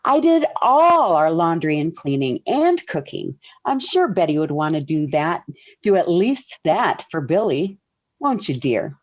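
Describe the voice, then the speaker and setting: A woman's voice: fairly low pitch, very animated, a little slow. Wry radio mother, muffled. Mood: gentle. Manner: gentle, wry. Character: patient, dryly witty middle-aged wife and mother. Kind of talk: 1940s American radio sitcom acting. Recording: dull vintage radio recording.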